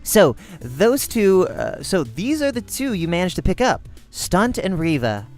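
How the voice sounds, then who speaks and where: A man acts out an ambitious young woman gamer in a visual novel. The delivery is curt and bossy, with lively swings in pitch. Music plays behind the businesslike lines. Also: measured pace. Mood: dismissive. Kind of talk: amateur visual novel character acting.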